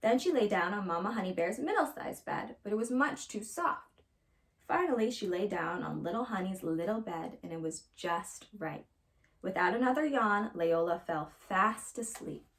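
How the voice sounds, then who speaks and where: A woman storyteller reading a children's fairytale aloud with expressive narration. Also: medium pitch, normal speed.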